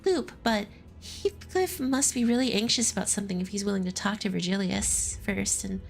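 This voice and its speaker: A woman's voice: mid-range voice, normal speed, creaky, soft background music. A woman streamer giving casual, rambling commentary while playing a game, often giggling. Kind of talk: casual commentary over gameplay.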